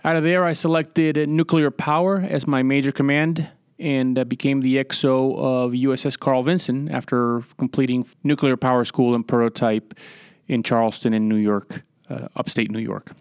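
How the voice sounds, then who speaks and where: Casting: middle-aged male naval officer as interview guest, crisp voice, factual explanatory delivery, dry sound. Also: mid-range voice, level tone, moderate pace, no echo. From San Juan, Puerto Rico. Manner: matter-of-fact, military briefing phrasing.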